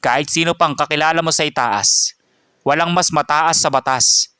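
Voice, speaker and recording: A man voicing a stern, calm male police chief who speaks firmly and seriously. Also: on the high side, clear, resonant tone, no room sound, studio quality. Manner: firm, measured, serious.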